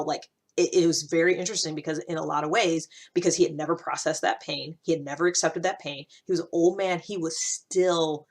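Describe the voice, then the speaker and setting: A woman's voice: low-pitched, a bit bright. A woman talking casually and reflectively to her listeners, thinking aloud in an informal, searching way with emphatic points. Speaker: host of a personal-growth series.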